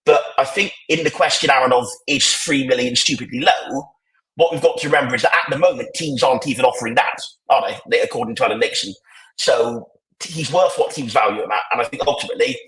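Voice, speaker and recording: A croaky, tired-sounding man talks through his opinions in a rambling, restart-heavy way, his voice at an ordinary middle pitch. He speaks into earbuds over a video call, so the sound is thin and echoey. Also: fast, fairly bright tone. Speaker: podcast panelist. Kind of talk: casual podcast discussion. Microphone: AirPods on a video call. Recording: echoey, poor sound quality.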